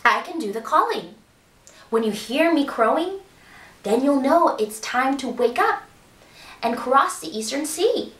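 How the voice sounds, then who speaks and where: An earnest, brave rooster, played by a woman reading aloud pleads slowly with a rising and falling voice. It sounds distant, with room echo. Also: high voice, lively intonation, fairly bright tone. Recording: roomy echo, distant mic.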